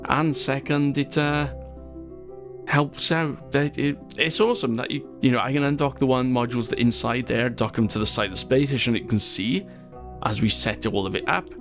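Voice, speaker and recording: A Welsh man with a medium-pitched voice talks through his gameplay in a loose, rambling way, drifting into asides and laughing at his own slips. Quiet music runs under his voice. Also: steady tone, normal speed. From Wales. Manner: chatty and self-mocking, rambling asides, frequent laughs. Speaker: gaming channel host. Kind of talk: casual gameplay commentary.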